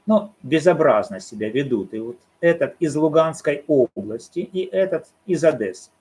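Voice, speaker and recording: A man giving solo commentary on a court case, arguing his points in a measured, deliberate way and answering his own rhetorical questions. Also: fairly high pitch, lively intonation, a little mellow, slightly rough. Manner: argumentative, poses rhetorical questions and answers them.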